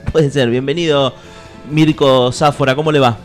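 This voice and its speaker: A man with a Rioplatense Argentine accent hosting a mock gossip show in polite, halting banter. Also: medium pitch, fairly even intonation, faint music behind. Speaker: host of a comic showbiz segment.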